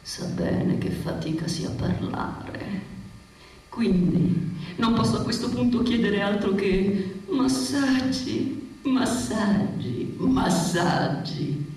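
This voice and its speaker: A woman's voice: mid-range voice, animated, slow, a little mellow. A woman performing a dramatic stage monologue as a defiant, sensual, ironic woman. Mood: weary, languid. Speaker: actress reciting monologues. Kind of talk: theatrical monologue set to music. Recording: echoey distant stage sound with music.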